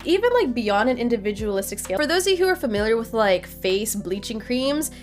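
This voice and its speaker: A young woman from Nepal, a student, talking earnestly to her viewers in a casual opinion vlog, filling her sentences with "like". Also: brisk. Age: young adult. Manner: earnest, heavy use of "like", animated pitch jumps. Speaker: vlogger. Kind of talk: casual opinion talk to camera. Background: faint background music.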